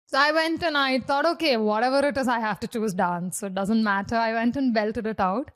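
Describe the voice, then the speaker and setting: A young woman from South India with a husky voice, telling stories as a guest in a casual interview and acting out the people she quotes. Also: fairly high pitch, fairly quick. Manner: animated storyteller, acts out quoted dialogue. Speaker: guest singer.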